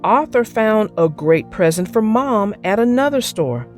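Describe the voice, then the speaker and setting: A woman's voice: medium pitch, lively intonation, a little slow, a little mellow. A woman narrating a children's storybook in a scripted read-aloud style. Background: music.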